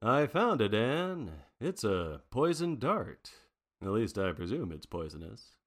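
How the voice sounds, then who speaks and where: A man's voice: lowish voice, very animated, slow, fairly bright tone, exaggerated voice. A man playing a wry, unflappable private detective in a 1940s noir radio drama, with a theatrical, wordy manner. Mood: alert. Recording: long reverberant hall sound.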